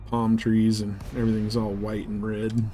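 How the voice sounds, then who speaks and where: A man's voice: on the low side, completely flat delivery, normal speed, background noise. A man giving casual live game commentary, rambling and thinking aloud as he plays. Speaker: game streamer.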